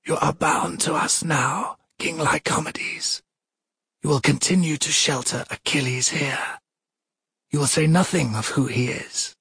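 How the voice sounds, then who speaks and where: A man voicing a cold, imperious goddess in a story, with measured, commanding, cutting speech. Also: a little high, steady tone, gravelly, a bit bright, husky, no room sound, voice pushed up, heavily acted. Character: cold, imperious sea goddess, menacing mother.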